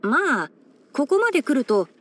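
A woman's voice: high voice, very animated, moderate pace, fairly bright tone. A woman voicing a young male fox-eared ranger in a video game, scholarly and sensible, speaking in a composed, articulate, mildly wry way. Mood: curious, explaining. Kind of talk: scripted video game character voice-over.

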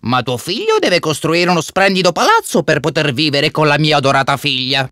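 Pompous, wildly swooping storybook sultan. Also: male voice, a little high, big pitch swings, fairly quick, bright, forceful tone, no echo, clean studio sound. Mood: impressed. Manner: pompous, theatrical hums and exclamations. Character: haughty sultan, imperious yet curious and easily impressed. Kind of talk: children's fairy tale cartoon acting.

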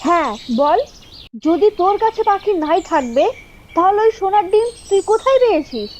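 A woman acts out a scheming village woman for a doll story. The voice is very high and theatrical, with a brazen, quarrelsome edge. Faint birdsong and noise sit behind it. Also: lively intonation, moderate pace, a little mellow. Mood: indignant. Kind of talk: doll-story drama dialogue.

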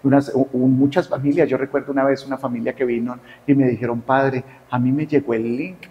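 A priest addresses his congregation with heartfelt gratitude and emotion. His voice sits at an ordinary middle pitch for a man. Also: level tone, a little fast, dull audio. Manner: warm, emotional, grateful. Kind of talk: heartfelt announcement after Mass.

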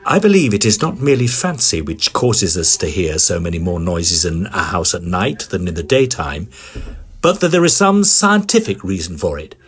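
A man playing an excitable Edwardian gentleman ghost hunter, telling his story in formal, theatrical audio drama style. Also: low voice, moderate pace, slightly rough, full character voice. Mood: suspenseful narration. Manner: formal, old-fashioned, dramatic phrasing. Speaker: narrator and lead character.

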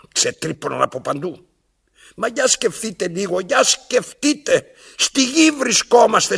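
A domineering, self-pitying old man in a theatrical radio play. His high, gravelly voice swings widely in pitch as he commands and declaims, pausing and repeating himself imperiously. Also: pushed and bright, full character voice. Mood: bitter, declamatory. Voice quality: raspy, gravelly. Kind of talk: theatrical radio drama acting.

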